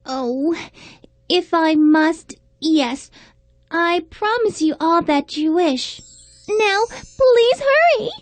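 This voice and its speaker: A woman voicing a spoiled, whiny, haughty young princess in a children's fairy tale, with exaggerated, deliberate delivery. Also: very high-pitched, lively intonation, no room sound, exaggerated voice. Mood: pleading.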